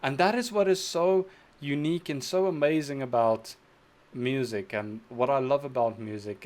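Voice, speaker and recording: Young male reaction host, gushing and enthusiastic, average pitch. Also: a little mellow. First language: Afrikaans. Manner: effusive, enthusiastic, thinks aloud with restarts. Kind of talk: casual reaction commentary.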